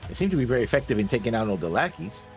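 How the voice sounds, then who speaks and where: A man's voice: medium pitch, very animated, racing. A man chatting casually as co-host of a reaction show. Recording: music playing behind the voice.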